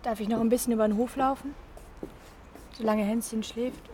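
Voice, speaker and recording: A woman playing a gentle, compliant young imprisoned mother in a German radio drama, on a noisy recording. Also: fairly high pitch, fairly even intonation, fairly quick, close to an everyday voice.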